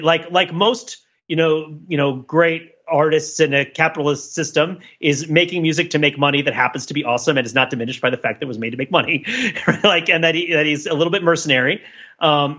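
A man's voice: on the high side, sharp tone, dull audio. A man co-hosting a podcast, giving casual, opinionated cultural commentary in a rambling, digressive way, as if thinking aloud. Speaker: podcast co-host.

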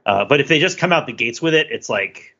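A man's voice: mid-range voice, animated, fairly quick, vocal fry, pushed and bright, breathy edge, dull audio. A man co-hosting a podcast in casual discussion, talking in rambling runs with many restarts and fillers. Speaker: podcast co-host.

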